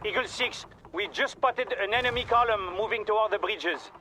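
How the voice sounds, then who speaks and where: An actor playing a French commandant reporting urgently by radio in a war video game, with a French accent. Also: male voice, high-pitched, normal speed, pushed and bright, rough recording. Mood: alert. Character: alarmed male French commandant under attack. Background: music, gunfire, artillery.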